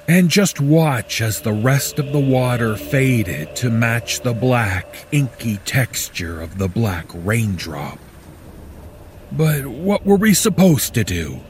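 A man playing a weary, bitter disaster survivor, narrating a horror story in the first person with deliberate, dramatic pauses. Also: on the low side, slight background noise. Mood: bitter, frustrated.

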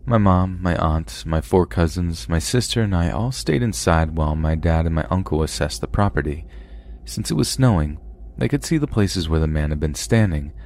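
A man hosting a podcast, calmly reading submitted scary stories aloud in a measured first-person narration. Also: sits low. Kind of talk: scripted horror story narration.

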